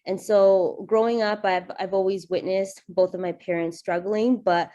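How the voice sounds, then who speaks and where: A woman's voice: mid-range voice, fairly even intonation, moderate pace, mellow. A young woman in her twenties, presenting on a video call in an earnest, conversational way. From Canada. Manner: earnest, conversational, personal storytelling. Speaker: youth program coordinator presenting. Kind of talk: webinar presentation.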